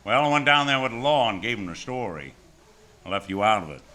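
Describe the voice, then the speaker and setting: A man's voice: fairly low pitch, animated, fast talker, pushed and bright. An actor with an American accent playing a tough, wisecracking hardboiled hero who tells his story in a noir radio drama, wry and terse. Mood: wry probing. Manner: wry, terse, world-weary. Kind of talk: first-person noir radio drama acting.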